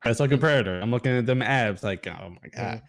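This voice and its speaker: A man with a mid-pitched voice chats about film as a review co-host. His delivery is casual and rambling, full of halting restarts. He swears freely as he goes.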